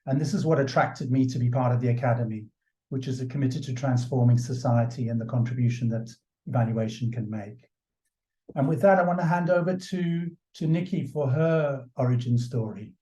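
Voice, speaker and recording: Man, keynote speaker, mellow voice, halting restarts, echoey room. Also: mid-range voice. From South Africa. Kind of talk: keynote talk.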